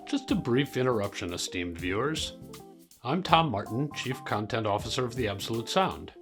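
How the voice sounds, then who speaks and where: A man delivering a scripted subscription pitch as a magazine executive. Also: expressive, normal speed. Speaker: magazine executive making a promo. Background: music.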